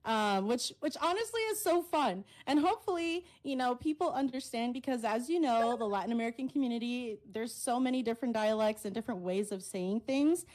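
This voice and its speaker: A woman's voice: on the high side, lively intonation, fairly quick, hardly any pauses, a little mellow, low-quality recording. An enthusiastic woman of Puerto Rican and Salvadoran background, co-casting an esports stream in a gushing way. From Puerto Rican and Salvadoran family. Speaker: bilingual esports co-caster. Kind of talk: casual esports stream commentary.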